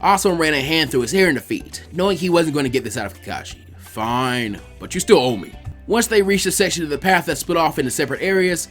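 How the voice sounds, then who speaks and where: A man narrating a story aloud in an expressive audiobook style. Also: a little high, rapid-fire, few pauses, soft background music.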